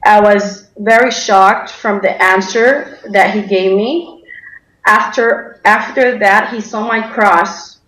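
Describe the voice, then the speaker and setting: A woman's voice: mid-range voice, steady tone, slow pace, fairly bright tone, echoey room, low-quality recording. A young woman from Denmark giving a personal testimony in an interview, hesitant with many fillers and restarts. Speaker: interview guest.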